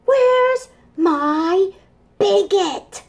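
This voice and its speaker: Fussy little picture-book dinosaur, female reader acting it out: loud, high, stretched-out exclamations. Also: animated, drawn-out words, heavily acted. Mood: whiny, upset. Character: fussy young dinosaur whining for a blanket.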